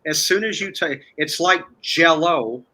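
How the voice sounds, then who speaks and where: A man speaking as a guest in a casual chat, explaining step by step in a halting way. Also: fairly high pitch, sharp tone. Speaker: guest, online video creator.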